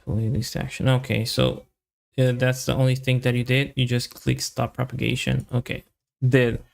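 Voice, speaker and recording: A man giving casual live coding commentary, thinking aloud as a software developer in halting, muttered fragments with long pauses. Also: mid-range voice, flat delivery, gravelly.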